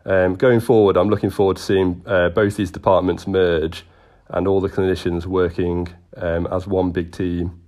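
A man with a very deep, dull-sounding voice reads out a hospital update. He hesitates often, filling the gaps with ums and uhs. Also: flat delivery, moderate pace, a little mellow. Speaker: urgent treatment practitioner. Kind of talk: read-out hospital announcement.

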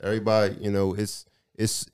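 A man with a deep voice in casual chat, earnest and preachy, repeating points for emphasis. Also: completely flat delivery, a little fast, darkish tone, smooth. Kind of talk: casual chat on faith and society.

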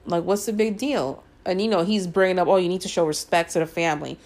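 A woman's voice: on the low side, steady tone, quick pace, a little mellow. Woman telling a story on her podcast. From Guyanese and Puerto Rican family. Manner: conversational, frequent fillers, exasperated asides. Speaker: podcast host. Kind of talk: casual storytelling monologue.

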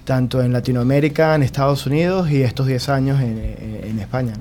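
A man's voice: mid-range voice, fairly even intonation, brisk, dark tone, slight background noise. Venezuelan man, hesitant interview guest. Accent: Venezuelan Spanish. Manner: hesitant, many fillers and restarts. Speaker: guest, company security chief. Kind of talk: radio interview.

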